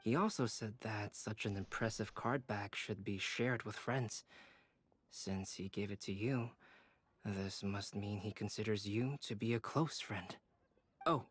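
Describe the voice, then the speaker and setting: A man playing a composed, thoughtful, polite young artist in a video game, measured and articulate. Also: lowish voice, breathy edge, no room sound. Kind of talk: video game character acting.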